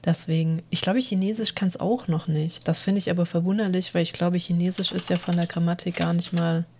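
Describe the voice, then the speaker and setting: Woman podcaster rambling along, kinda low voice, jokes about herself a lot. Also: fairly even intonation, brisk, dark tone. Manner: chatty, self-deprecating, frequent laughs and fillers. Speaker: podcast co-host. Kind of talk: casual podcast chat.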